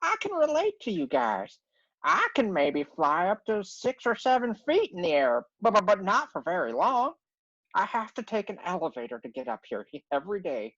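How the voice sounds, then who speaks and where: A woman's voice: a little high, sing-song, normal speed, a bit bright, no room sound. A woman playing a timid, kind, earnest bird with a stammering manner in a comedy table read. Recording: dry, dull lo-fi audio.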